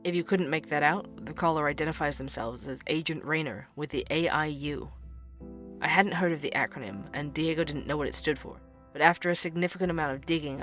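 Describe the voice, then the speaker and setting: An actor playing a male podcast host who investigates cold cases, speaking in a calm, measured, explanatory way. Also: a little high.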